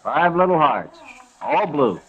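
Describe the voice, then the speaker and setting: A man playing a placating saloon card dealer, measured and mildly needling, in an old radio western. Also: on the high side, big pitch swings, breathy edge, lo-fi sound, exaggerated voice. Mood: unruffled. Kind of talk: 1950s radio western acting.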